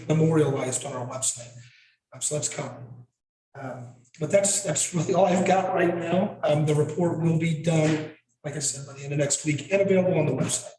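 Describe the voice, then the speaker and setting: A man's voice: a little high, a little mellow. A man who is losing his voice gives a verbal staff report as town administrator at a board meeting, with many ums and restarts. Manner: halting, many fillers and restarts. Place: council meeting room. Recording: echoey meeting room, mic far off.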